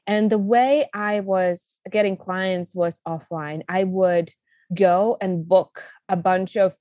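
A woman's voice: medium pitch, fairly even intonation, slow pace, mellow, dry recording, studio quality. A woman in her thirties from the Czech Republic with a Czech accent, a business coach telling her story as a guest, enthusiastic and talkative with many fillers. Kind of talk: casual interview storytelling.

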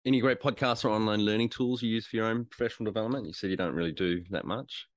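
A man's voice: fairly low pitch, racing, no room sound. A man from Australia hosting a business podcast, asking brief, friendly interview questions. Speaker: podcast host.

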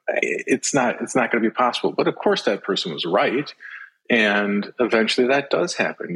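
Male podcast guest, middle-aged, fairly low husky voice, reflective and conversational. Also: a bit bright, low-quality recording. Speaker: podcast guest, speaker and writer.

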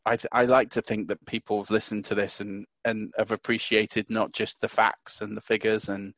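A man with a lowish voice talks loudly and eagerly, tripping over his words and restarting sentences as he co-hosts a podcast. The sound is dry and slightly dull, recorded at home in a small house. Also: moderate pace, dry recording. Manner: excitable, stumbling restarts, loud. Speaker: podcast co-host. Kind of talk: casual podcast chat.

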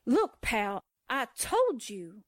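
A woman's voice: a little high, sing-song, very slow, no echo, studio quality, full character voice. An actress playing a sassy young newspaperwoman in a 1940s-style radio drama, with slangy, streetwise delivery. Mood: dismissive, sassy. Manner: wisecracking, streetwise, brash.

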